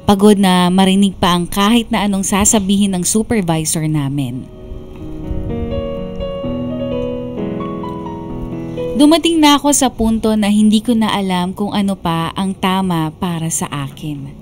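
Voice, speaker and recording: A woman playing a burned-out young woman who tells her life story in measured, confiding radio drama narration. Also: medium pitch, lightly acted. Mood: weary, reflective. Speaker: narrator reading a letter sender's story. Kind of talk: scripted first-person radio drama narration. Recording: background music under the narration.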